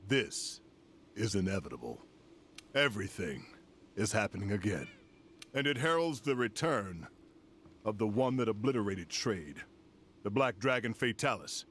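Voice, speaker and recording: An actor playing a grave older general in a video game cutscene, speaking formally and with solemn, measured weight. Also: male voice, on the low side, a bit bright, a little hiss or hum.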